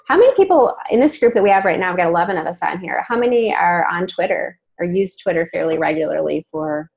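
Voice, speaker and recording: A woman's voice: on the low side, expressive, fast talker, vocal fry, darkish tone. A woman hosting a webcast over a video call, chatty and informal, with frequent ums and self-corrections. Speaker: webcast host. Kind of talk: casual group discussion.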